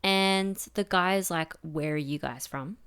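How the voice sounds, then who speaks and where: A woman's voice: fairly low pitch, unhurried, a bit bright, clear, resonant tone. A young woman with an Australian accent, co-hosting a podcast in casual, playful chat full of jokey asides. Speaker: podcast co-host.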